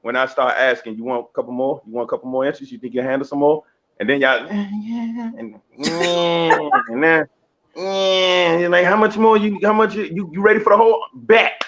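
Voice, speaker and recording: A man's voice: fairly high pitch, normal speed, dull audio, background noise. A man who is a comedy guest, bantering casually and bawdily in a cocky, slangy, repetitive way. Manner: cocky, slangy, repeats himself for emphasis.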